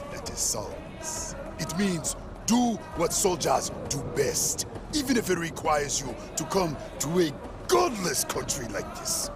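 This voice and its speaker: An eccentric, zealous soldier preacher for a game. He sermonizes emphatically and slowly in a high, animated voice, with music behind. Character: zealous gunrunning preacher, eccentric war-hungry male soldier. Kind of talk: theatrical video game sermon.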